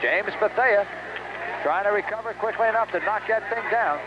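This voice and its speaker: Male American play-by-play announcer, high voice, live football radio call in clipped phrases, dull old broadcast sound. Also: moderate pace. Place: outdoors at a stadium. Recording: dull, noisy 1970s radio recording.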